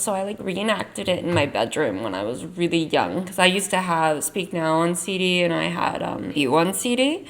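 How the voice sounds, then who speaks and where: A woman's voice: on the low side, vocal fry. A young woman vlogger chatting casually as she ranks songs, her voice worn from losing it, rambling with lots of "like". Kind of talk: casual tier-ranking chat.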